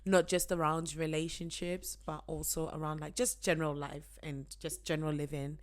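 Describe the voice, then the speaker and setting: A woman in her thirties co-hosting a podcast with her husband, chatting in a relaxed way and laughing easily. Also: low-pitched, normal speed. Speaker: podcast co-host. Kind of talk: casual chat.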